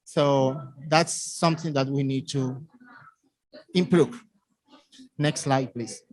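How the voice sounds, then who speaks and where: A man's voice: mid-range voice, fairly even intonation, darkish tone, no echo, rough recording. Venezuelan-accented man presenting, dry close sound. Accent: Venezuelan Spanish. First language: Spanish. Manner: halting, simply phrased second-language English. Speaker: community presenter. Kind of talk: conference presentation.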